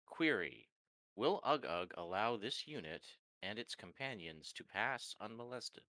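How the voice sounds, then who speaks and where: A man's voice: on the low side, big pitch swings, measured pace, pushed and bright, no echo, exaggerated voice. A man voicing a terse, mechanical warforged construct that announces each statement type before speaking. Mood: flat, methodical. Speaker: player.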